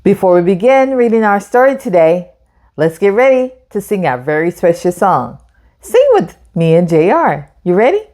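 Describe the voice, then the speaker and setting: A woman's voice: medium pitch, very animated, mellow. A woman hosting a children's storytime, speaking directly and encouragingly to young listeners as she reads aloud. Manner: encouraging, addresses children directly, deliberate phrasing. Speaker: storytime host. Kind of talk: children's read-aloud narration.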